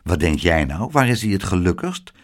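Een man die een vriendelijke, kalme oude boekhandelaar voorleest in een kinderverhaal. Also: normal speed. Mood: kindly. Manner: soothing, low and calm. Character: kind calm old bookseller, gentle man.

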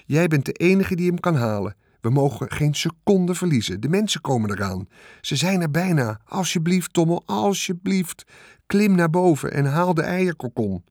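A man's voice: fairly high pitch, lively intonation, moderate pace, darkish tone, no room sound, clean studio sound, voice pushed up. A man voicing a young male pig who pleads urgently and desperately.

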